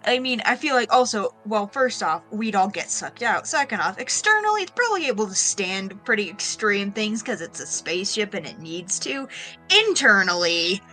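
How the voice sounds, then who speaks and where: A woman's voice: medium pitch, animated, normal speed, bright, forceful tone, faint music behind, poor audio. A woman playing a tabletop game, chatting casually and rambling as she reasons out the rules aloud. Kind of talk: casual chat.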